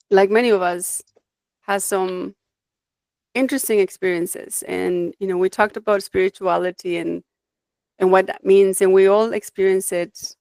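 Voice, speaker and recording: A woman's voice: medium pitch, darkish tone, dry recording. Reflective woman from Mexico, dry sound. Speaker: guest, life design coach. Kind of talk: conversational interview, personal storytelling.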